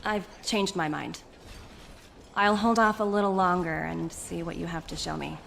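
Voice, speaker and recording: An actress playing a shrewd, self-assured young corporate envoy in a video game, polished and articulate. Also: female voice, fairly low pitch, steady tone, moderate pace, creaky, fairly bright tone, slight background noise. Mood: conciliatory. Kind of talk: scripted video game voice acting. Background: game music.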